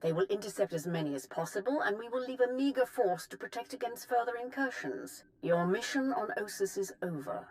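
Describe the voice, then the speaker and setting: An actress playing a calculating, assured Sith empress in a video game, with imperious, formal and measured phrasing. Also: female voice, mid-range voice, animated, natural voice. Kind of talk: dramatic game voice acting.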